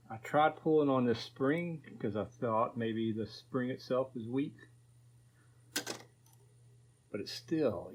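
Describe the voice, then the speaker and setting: A man's voice: mid-range voice, a little hiss or hum. A man in his fifties casually narrating a repair to viewers, thinking aloud in a folksy way. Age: 50s. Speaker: host of a repair video channel.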